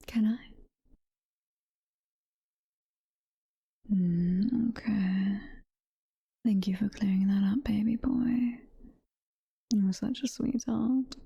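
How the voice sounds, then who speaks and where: A woman playing a doting, possessive demon mommy girlfriend in an ASMR roleplay, whispering softly and tenderly with a British accent. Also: fairly high pitch, no room sound, heavily acted. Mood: playful, hopeful. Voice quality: breathy, soft-spoken whisper. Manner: slow, tender, coaxing. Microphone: close ASMR microphone.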